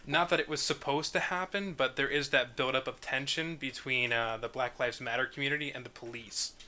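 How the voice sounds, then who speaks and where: A man's voice: mid-range voice, steady tone, a little fast. A man hosting an online group script discussion in a casual, conversational way. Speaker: host of an online script table read. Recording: bright, noisy voice-call microphone.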